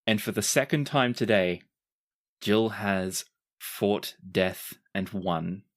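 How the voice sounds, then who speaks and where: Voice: low voice, takes it slowly. A game master narrating a tabletop roleplaying game, telling the story in dramatic detail and dropping into casual asides.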